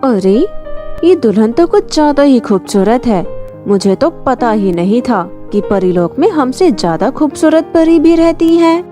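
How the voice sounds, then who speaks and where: A woman's voice: high-pitched, big pitch swings, unhurried, warm tone, faint music behind, pitched above the performer's own voice. A woman voicing an arrogant, mischievous young fairy in a storybook tale, with a haughty, taunting manner. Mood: jealous, spiteful.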